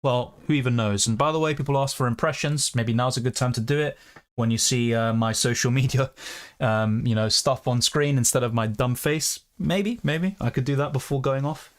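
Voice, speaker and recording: A man's voice: medium pitch, moderate pace. A young man chatting casually on a live stream, rambling and reading out chat messages. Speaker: fantasy football streamer.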